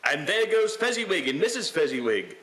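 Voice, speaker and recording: Man as jaunty, bright-voiced young pal. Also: a little high, very animated, fairly quick, rough recording. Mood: teasing. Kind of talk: live radio-play style stage reading.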